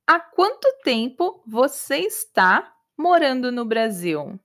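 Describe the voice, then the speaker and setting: A woman hosting a language lesson podcast, asking simple questions slowly and deliberately and repeating them for learners. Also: on the high side, very animated, a bit bright. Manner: slow, deliberate, repetitive questioning. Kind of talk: scripted question-and-answer teaching story.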